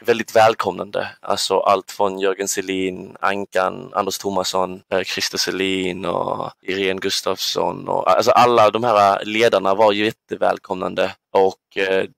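Man in his twenties, gravelly low voice, narrow intonation, thoughtful, hesitant, casual interview recollection. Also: little pitch movement, hardly any pauses, fairly bright tone, dry recording. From Ängelholm, southern Sweden. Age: 20s. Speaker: podcast guest, basketball player.

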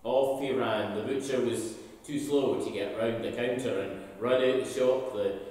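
Older man telling a story in an echoing church. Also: medium pitch. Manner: storytelling, slow and expressive. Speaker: minister. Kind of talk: all-age children's talk. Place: church sanctuary, large indoor hall. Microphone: far camera, pulpit mic. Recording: echoey church hall from a distance.

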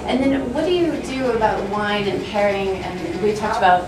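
A woman's voice: medium pitch, moderate pace, noisy background. A woman in her forties hosting a food show, asking eager, enthusiastic questions on location in a cheese shop. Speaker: host. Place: busy cheese shop, indoors. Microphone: camera mic from a distance. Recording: echoey shop recorded from far off with loud crowd.

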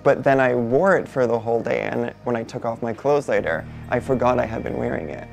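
Non-binary interviewee, 20s, male-range voice with a gravelly edge, reflective and unhurried, faint music underneath. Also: medium pitch. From Barbados. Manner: thoughtful, unhurried, many soft fillers. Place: indoor studio before a painted backdrop.

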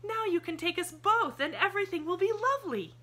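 A woman voicing an eager, cheerful little girl in an audiobook, bright, breathless and guileless. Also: extremely high pitch, sing-song, a little fast, pitched above the performer's own voice, full character voice. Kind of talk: audiobook character voice.

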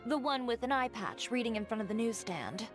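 A woman's voice: fairly high pitch, expressive, a little fast, lightly acted. A woman voicing a composed young fashion designer in a game, speaking matter-of-factly. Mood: calm. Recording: game audio with clear music.

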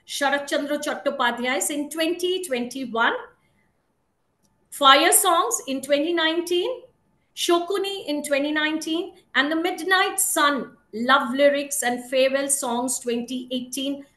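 Middle-aged Indian woman, event host. Bright, high voice, slow and halting formal read. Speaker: session host introducing speakers. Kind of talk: formal introduction read from notes. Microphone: video call. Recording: roomy video-call sound.

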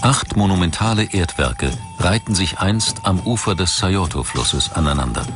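Male documentary narrator, very low register, factual scripted read with a music bed underneath. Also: animated, moderate pace. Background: background music.

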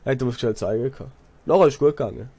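A young man with a Swiss German accent chats casually while gaming. His voice is mellow and relaxed, recorded dry and close, and he rambles through stories, often repeating himself. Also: medium pitch, fast talker, breathy edge, dry recording. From Switzerland. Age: young adult. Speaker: let's player, apprentice builder.

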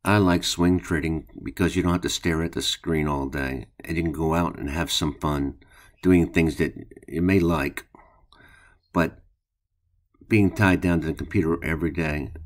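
An older man hosting his stock trading channel, talking through a screen-share in a plain, unhurried way with some restarts. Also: a bass voice, fairly even intonation. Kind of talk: casual screen-share commentary. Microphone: voice-over on screen recording.

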